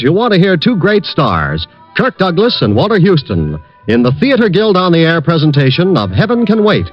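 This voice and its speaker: An American man announces a radio program in polished 1940s style. His fairly high voice moves through an animated range, on a muffled vintage broadcast recording. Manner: formal, polished delivery. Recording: dull, band-limited vintage radio broadcast.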